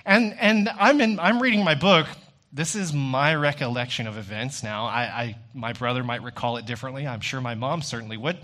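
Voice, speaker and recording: A man's voice: medium pitch, brisk, sharp tone. A man preaching a sermon in a conversational storytelling way, speaking directly to his listeners.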